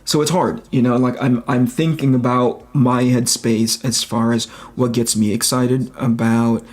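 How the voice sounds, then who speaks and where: A man with a relaxing, slightly raspy voice at an ordinary male pitch. He talks casually, as a streamer does while working, and reflects aloud, often reaching for the right word before going on. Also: level tone, a little slow. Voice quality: relaxing, soft-edged voice. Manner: reflective, searching for words, thinking aloud. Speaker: livestream host, comic book artist. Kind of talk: casual livestream chat while drawing.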